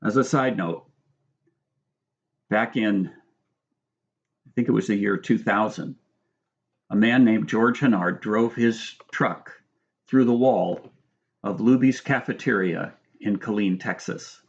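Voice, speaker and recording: A middle-aged American man reads his own nonfiction book aloud in a measured, instructive manner, adding spoken asides. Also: fairly low pitch, muffled recording. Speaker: author reading own book. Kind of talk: audiobook-style reading of nonfiction with spoken asides.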